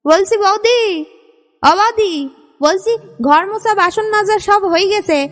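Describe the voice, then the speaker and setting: A woman's voice: very high-pitched, measured pace, a bit bright. A woman voicing a brash, defiant housemaid in a family sketch. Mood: brash defiance. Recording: reverberant hall-like echo.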